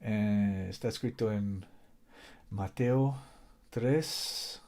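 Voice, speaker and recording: A man's voice: lowish voice, very slow, dark tone. A man preaching a Bible lesson in Spanish, which is not his native language, reading scripture aloud and repeating his key points earnestly.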